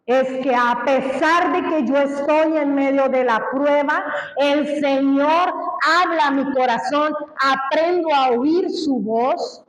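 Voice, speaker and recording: A woman's voice: high-pitched, roomy sound, rough recording. A woman from Colombia preaching a sermon, speaking emphatically and asking the congregation questions. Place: church.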